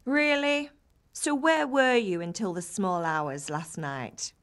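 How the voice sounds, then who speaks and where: A woman's voice: mid-range voice, slow pace. An actress playing a middle-aged village mother in a medieval game, who scolds and teases with affection. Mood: teasing, chiding. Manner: nagging, teasing, animated. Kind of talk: dramatic video game dialogue.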